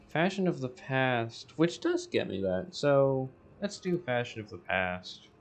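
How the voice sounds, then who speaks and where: A man's voice: mid-range voice, sing-song, a little slow. A man with a scratchy voice, rambling through casual gameplay commentary as the host. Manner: rambling, self-interrupting. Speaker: gaming video host.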